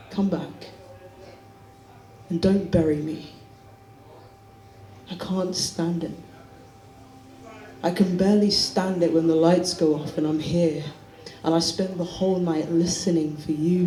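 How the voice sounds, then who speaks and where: Poet reading a dead son, pleading and repetitive; dark tone, roomy far-off recording. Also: mid-range voice, natural voice. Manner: pleading, repeating "Dad". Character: dead young son pleading with his father. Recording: roomy hall, mic far off.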